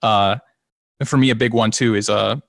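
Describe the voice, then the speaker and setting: A man's voice: on the low side, normal speed, creaky, no echo, studio quality. A man, a singer and guitarist in a band, chatting casually in an interview, a little nasal, rambling and restarting his sentences. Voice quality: slightly nasal. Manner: relaxed and rambling, with many restarts and small laughs.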